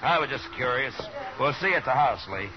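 An actor playing a steady, shrewd frontier lawman in a 1950s radio Western, measured and matter-of-fact. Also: medium pitch, lightly acted. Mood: thoughtful. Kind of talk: 1950s radio drama acting with narration. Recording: dull vintage 1950s radio recording with music.

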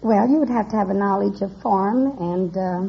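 A muffled vintage radio recording with audience noise behind a woman contestant. She has a creaky, mid-pitched voice and explains things carefully and formally, pausing as she goes. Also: crowd noise. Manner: careful, formal wording with hesitant pauses. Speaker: painter, quiz contestant.

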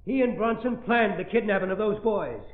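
A man's voice: high-pitched, brisk, close to an everyday voice. An actor playing a bitter, vengeful male accomplice turned killer, grim and emphatic, in 1930s radio drama style. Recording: tinny, roomy old radio recording.

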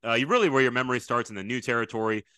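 Fast-talking, bright-voiced man in his late thirties. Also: medium pitch, clean tone, dry recording, clean studio sound. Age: late 30s. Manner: chatty, reminiscing, long winding questions. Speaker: podcast host, football coach. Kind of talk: casual conversational interview.

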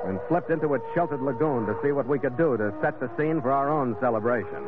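An actor playing a steady, wry seafaring captain, narrating in a dry, clipped 1940s radio style. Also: male voice, mid-range voice, natural voice. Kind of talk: 1940s radio acting, scripted first-person narration. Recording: narrow-band old radio recording. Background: dramatic music.

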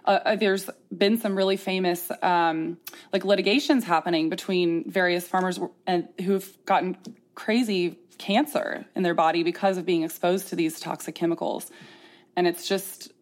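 A woman's voice: lowish voice, fairly even intonation, normal speed. A woman hosting a candid interview, talking casually with earnest emphasis. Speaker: podcast host.

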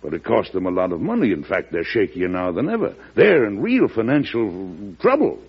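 An actor with an American accent playing a shrewd, genial, avuncular president in an old-time radio drama, in a deliberate storytelling manner. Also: male voice, on the low side, big pitch swings, husky, exaggerated voice. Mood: wry, confiding. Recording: dull lo-fi vintage radio broadcast.

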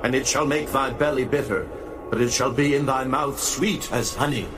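A man playing a solemn, commanding angel of heaven in a dramatized scripture audiobook, declaiming gravely. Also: medium pitch, fairly even intonation, moderate pace, lightly acted. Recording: music behind the voice.

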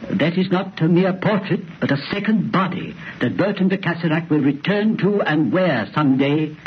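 Grave, polite village reverend, played by a man, rather high voice, muffled old radio drama sound. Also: lively intonation, normal speed, a little hiss or hum, lightly acted. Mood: grave, ominous. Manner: hesitant, formal, solemn. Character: courteous, grave English country clergyman. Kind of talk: 1930s radio drama acting.

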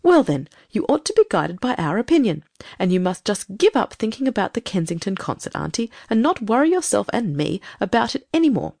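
A self-righteous storybook farm wife, voiced by a female narrator, scolding in a creaky voice that swings widely in pitch. She is brisk, bossy and condescending, with little patience. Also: very animated, darkish tone, dry recording, clean studio sound. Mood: impatient, bossy.

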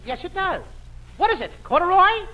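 An actor playing a cheeky, whiny, childlike chubby sidekick in an excitable 1940s radio comedy style. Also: male voice, very high voice, normal speed. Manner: excitable wisecracking. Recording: lo-fi, noisy old radio broadcast.

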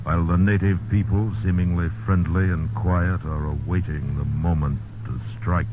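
A man giving dramatic, deliberate and ominous 1950s radio narration. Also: low-pitched, steady tone. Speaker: announcer. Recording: tinny, noisy old radio recording.

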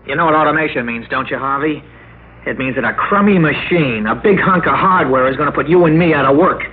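Brash, hotheaded American clerk. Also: male voice, a little high, a little fast, husky, narrow, tinny audio. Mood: bitter, forceful. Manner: brash, sarcastic, slangy. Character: hotheaded, cynical, defiant male office clerk. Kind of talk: old-time radio drama acting. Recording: thin, noisy vintage radio recording.

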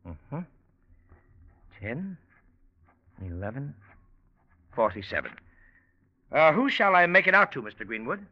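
An actor in an old-time radio drama playing a hard-boiled, evasive gangster with a tough, slangy, curt way of talking. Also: male voice, mid-range voice, very animated, measured pace, pauses often. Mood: distracted. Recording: dull old radio recording.

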